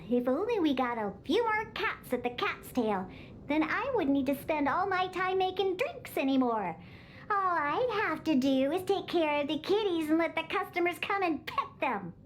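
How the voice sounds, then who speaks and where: A woman's voice: very high voice, a little hiss or hum. An actress playing a feisty young cat-girl bartender in a video game, with a cutesy, bossy, indignant manner. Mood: grumbling. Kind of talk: video game character acting.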